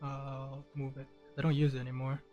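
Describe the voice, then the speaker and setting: A man's voice: medium pitch, monotone, moderate pace, dull audio, soft background music. A man giving casual, rambling commentary as he streams a game, in an offhand way. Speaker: gameplay streamer.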